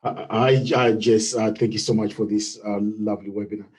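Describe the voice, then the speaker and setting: Man, zimbabwean accent, husky, mellow dark tone, halting with fillers, video call. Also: lowish voice, flat delivery. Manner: hesitant, many fillers and restarts. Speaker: webinar participant. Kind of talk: unscripted contribution in an online meeting.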